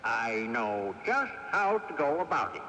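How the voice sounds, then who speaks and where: Cantankerous old ghost in long reverb. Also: male voice, medium pitch, big pitch swings, measured pace, pushed and bright, soft background music. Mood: scheming. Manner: theatrical, chuckling, stubborn. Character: cantankerous, sly old ghost of a great-grandfather. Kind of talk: radio mystery drama acting. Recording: off-air 1980s radio tape, reverberant, hissy.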